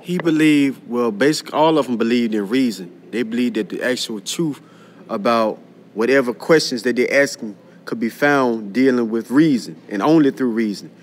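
A man's voice: mid-range voice, normal speed. Mid-voiced male student, faint room noise. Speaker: student answering in class. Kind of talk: spoken classroom answer.

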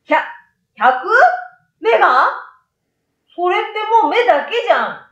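A woman's voice: extremely high pitch, big pitch swings, measured pace, a bit bright, echoey room, highs cut off, pitched above the performer's own voice, exaggerated voice. A woman voicing a wide-eyed, friendly young boy in a storybook, with childlike casual speech. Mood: startled. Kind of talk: storybook read-aloud.

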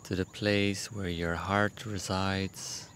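A low-pitched man guides a meditation very slowly and gently. He leaves long pauses between short, soothing instructions. A faint chirr of crickets and insects runs behind his voice. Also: level tone, a little hiss or hum.

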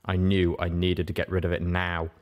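Deep-voiced man narrating a story. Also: completely flat delivery, normal speed. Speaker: audiobook reader. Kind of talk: first-person story narration.

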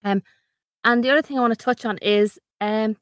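A woman's voice: on the high side, fairly even intonation, fairly quick, no room sound, studio quality. An Irish woman hosting a solo podcast, explaining things in a practical, conversational way with a slightly snuffly voice. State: slightly snuffly, getting over a cold. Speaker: podcast host.

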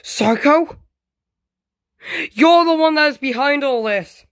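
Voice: medium pitch, takes it slowly, a bit bright, no echo, clean studio sound. A performer voicing a frightened, loyal dinosaur hero in a homemade dinosaur story, dramatic and anxious, full of exclamations. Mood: shocked fear.

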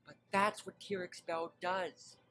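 A woman voicing an anxious, gentle, devoted young pony squire weighed down by guilt in a fan animation. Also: on the low side, level tone, measured pace. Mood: worried. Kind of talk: animated fan drama dialogue.

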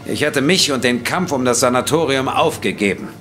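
A man's voice: medium pitch, lively intonation, fairly bright tone, lightly acted. Casting: male actor for dignified, wronged physician in radio play; medium register, formal, faint music beneath. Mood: bitter, resigned. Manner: measured, formal. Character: wronged, earnest male doctor, dignified and grateful. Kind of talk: German audio drama acting.

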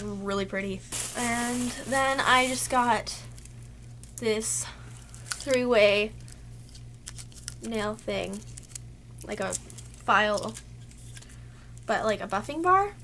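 High-voiced young woman with a sick voice. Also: fairly bright tone, slight background noise. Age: young adult. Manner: chatty, lots of "like" fillers. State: sick, losing her voice. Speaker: beauty vlogger. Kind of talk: casual haul chat to camera. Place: cluttered bedroom, indoors.

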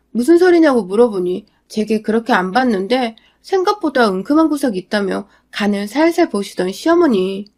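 A young adult woman reading a scripted first-person radio story in a steady, confiding way. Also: a little high.

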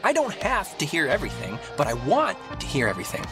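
Chatty, high-voiced teenage boy. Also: wildly swooping pitch, quick pace. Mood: eager. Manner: rambling, jokey, dramatic. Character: talkative, nosy, goofy teenage high school boy. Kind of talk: naturalistic video game dialogue. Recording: game music under the voice.

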